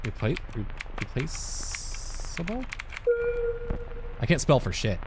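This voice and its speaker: A man's voice: mid-range voice, sing-song, slow pace, very noisy. A man in his thirties giving casual, joking, reactive commentary as he plays a game, close on the mic. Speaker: video game player. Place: indoor streaming setup. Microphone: close desk mic with headset. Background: loud game music.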